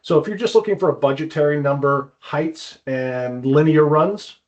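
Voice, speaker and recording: A man giving a conversational expert explanation as an interview guest, informal and halting, often repeating words. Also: a little high. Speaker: guest climbing wall consultant. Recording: dull, lo-fi audio.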